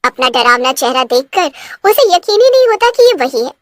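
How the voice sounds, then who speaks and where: A woman's voice: high voice, big pitch swings, normal speed, no room sound, clean studio sound. A woman reading scripted narration for a cartoon story.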